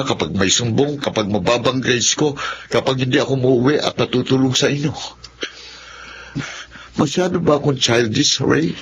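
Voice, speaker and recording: Male actor for sulky, lonely Filipino rich kid, radio drama, plaintive delivery, muffled with clear background noise. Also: mid-range voice, fairly bright tone, close to an everyday voice. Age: young adult. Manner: plaintive, earnest, brooding. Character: hurt, lonely rich student son, sulky. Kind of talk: Tagalog radio drama acting.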